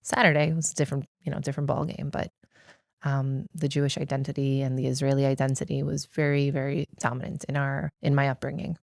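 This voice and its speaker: Middle-aged American woman, deep voice, dry close sound; earnest interview guest who explains things carefully. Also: little pitch movement, fast talker, creaky, a little mellow, no echo. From United States. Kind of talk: reflective personal interview.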